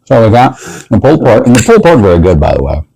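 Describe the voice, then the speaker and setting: A man's voice: mid-range voice, big pitch swings, racing, vocal fry, mellow, breathy edge, poor audio. A man hosting a casual tasting interview in a folksy, chatty way, talking to his guest and plugging promotions. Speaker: show host.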